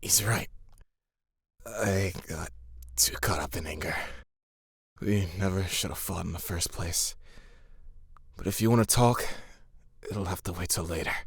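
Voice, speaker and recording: An actor playing an injured, weary but determined young man, speaking in a subdued, strained, breathy way in an audio drama. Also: low voice, unhurried, pauses often, no echo, full character voice. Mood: weary, resigned.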